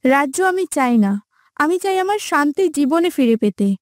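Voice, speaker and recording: A woman voicing a sorrowful, gentle young princess in a cartoon fairytale, earnest and plaintive. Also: high voice, expressive, moderate pace, no echo, studio quality, faint music behind.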